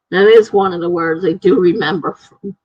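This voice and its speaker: A woman's voice: medium pitch, darkish tone, husky, no echo, dull audio. A woman hosting a live stream, reading viewer comments aloud in a chatty way and laughing often.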